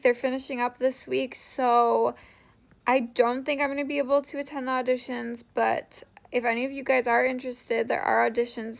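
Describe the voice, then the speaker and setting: A woman's voice: a little high, vocal fry. A young woman chatting casually to viewers as she shares interview tips, often dropping "like" into her sentences. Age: young adult. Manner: conversational, frequent "like" as filler. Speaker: vlogger sharing interview tips.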